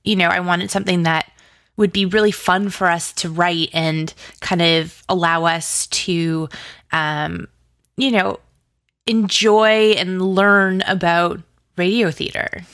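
Low-pitched woman chatting, close dry sound. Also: steady tone, slow, fairly bright tone, no echo. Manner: informal, conversational, peppered with "like". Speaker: interview guest, audio drama writer. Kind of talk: casual interview chat.

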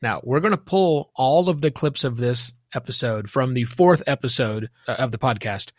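A man's voice: medium pitch, normal speed, no room sound, clean studio sound. A man hosting a podcast interview in a conversational, emphatic way, repeating words for emphasis.

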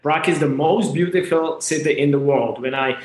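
A man from the Republic, a martial arts and strength instructor talking as a guest in a casual interview, halting and earnest with many fillers. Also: fairly high pitch. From Czech Republic. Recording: roomy echo.

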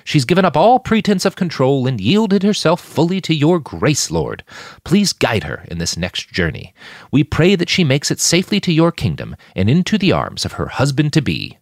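A man's voice: fairly high pitch, huge pitch swings, normal speed, no echo, studio quality, voice pushed up, exaggerated voice. A man voicing a pious, bookish younger smuggler in an audiobook, haggard and formal like a preacher. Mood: solemn praying.

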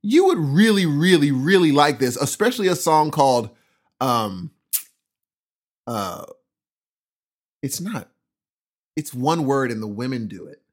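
A man's voice: fairly high pitch, lively intonation, measured pace, long pauses. An American man co-hosting a podcast in casual chat, informal and playful in the way he talks. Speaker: podcast co-host.

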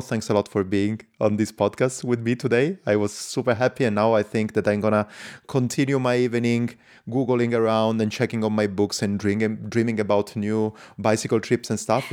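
A man's voice: fairly low pitch, a little mellow. Enthusiastic man hosting a podcast. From lives in Switzerland. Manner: enthusiastic, non-native phrasing. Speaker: podcast host. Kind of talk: casual podcast interview.

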